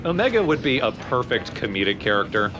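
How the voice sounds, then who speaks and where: A man's voice: medium pitch, big pitch swings, brisk, low-quality recording. A man giving casual gaming commentary in a dry, explanatory way. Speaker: let's play co-host. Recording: voice over loud game music. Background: game music and sound effects.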